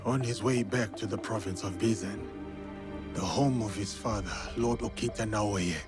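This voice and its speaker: A man's voice: lowish voice, moderate pace, close to an everyday voice. A man playing a loyal, cautious retainer to a feudal Japanese lord in a video game, formal and earnest. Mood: sober, concerned. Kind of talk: cinematic video game acting. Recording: clear music behind the voice. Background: clear background music.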